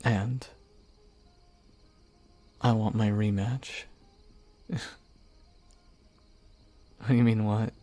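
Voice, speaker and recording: A man voicing a devoted young knight who earnestly confesses his love, speaking softly and haltingly. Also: on the low side, fairly even intonation. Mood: reassuring. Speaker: voice actor. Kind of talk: audio roleplay acting.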